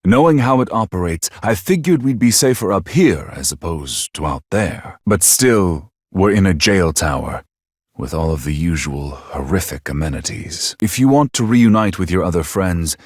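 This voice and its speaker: An actor playing a polite but scheming, secretly crazed video game villain, with formal, articulate, theatrical phrasing. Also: male voice, low-pitched, animated, moderate pace, no echo, studio quality. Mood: grave, courteous.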